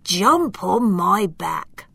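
A big, gentle brown bear, as a woman reading aloud puts him on, unhurried and kind, a touch lower than her narration. The recording is close and dry. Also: big pitch swings, vocal fry, breathy edge, dry recording, full character voice. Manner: slow and gentle.

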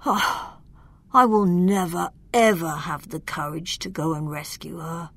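A woman voicing a timid, easily frightened young male knight in a storybook, high and trembling. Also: measured pace, creaky, breathy edge, heavily acted. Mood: fretful.

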